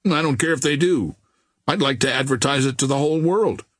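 A man voicing a lovesick, bantering young gentleman in an audiobook, earnest and teasing. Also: mid-range voice, animated, moderate pace, slightly rough, dry recording, studio quality. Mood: ardent.

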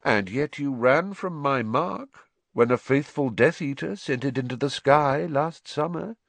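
A man voicing a cruel dark lord in an audiobook, speaking softly and coolly with measured menace. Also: mid-range voice, big pitch swings, dry recording, voice pushed up. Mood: cold menace. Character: cold, cruel dark lord, adult male.

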